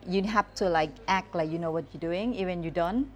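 A woman's voice: fairly low pitch, loud music behind the voice. A woman with a Thai accent, not a native English speaker, talking candidly as a guest photographer and often restarting her sentences. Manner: candid, halting, with many restarts and fillers. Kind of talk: casual podcast chat.

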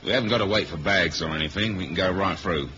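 A world-weary British spy talks in a very low register with sardonic, streetwise dryness. It is 1970s radio drama, slightly muffled with faint hiss behind. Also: male voice, fast talker, sharp tone. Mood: dry banter. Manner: dry, sardonic, slangy. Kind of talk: 1970s radio spy drama, acting and first-person narration.

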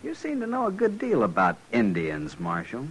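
A man's voice: medium pitch, huge pitch swings, moderate pace, slight background noise, low-quality recording. A man playing a young, arrogant, eager cavalry lieutenant in a 1950s radio western, clipped and formal in manner. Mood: cocky, combative. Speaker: supporting actor in a western radio drama. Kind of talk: 1950s radio drama acting.

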